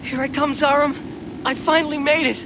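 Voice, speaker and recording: A man's voice: very high-pitched, little pitch movement, brisk, heavy background noise. A man voicing a defiant, yearning young dreamer in a dubbed anime. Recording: old VHS tape, loud music behind.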